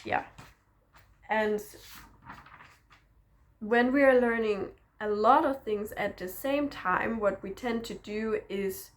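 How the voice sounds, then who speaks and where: A woman talks slowly and unhurriedly as she teaches self-development to camera, her pitch sitting in the middle range. She is reflective and encouraging, pausing to check in with a gentle questioning tag. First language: Danish. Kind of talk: personal teaching monologue to camera.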